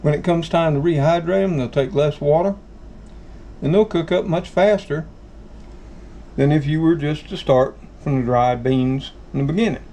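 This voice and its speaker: Southern American man, middle-aged, gravelly and slightly high; folksy home-kitchen host, faint room noise. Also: normal speed, warm tone. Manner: folksy, addresses viewers as folks. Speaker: channel host. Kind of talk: casual how-to talk.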